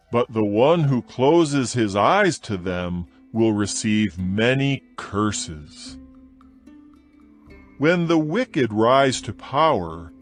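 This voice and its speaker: Slow, solemn male scripture reader. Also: lowish voice. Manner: slow, measured, solemn delivery. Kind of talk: dramatized Bible reading. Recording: soft music bed behind voice. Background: faint background music.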